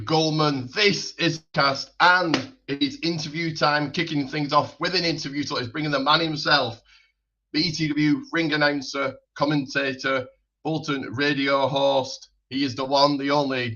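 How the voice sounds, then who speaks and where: A man's voice: fairly high pitch, steady tone, a little slow, sharp tone. A man hosting a podcast, introducing his guest with an announcer-style build-up, then chatting casually. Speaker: podcast host. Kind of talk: hyped show intro, then casual interview chat. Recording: dull lo-fi audio.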